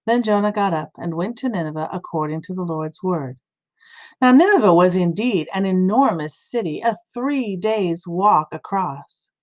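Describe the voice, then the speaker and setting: A woman reading scripture aloud as expressive, dramatic storytelling narration at a medium pitch. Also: moderate pace. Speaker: scripture reader.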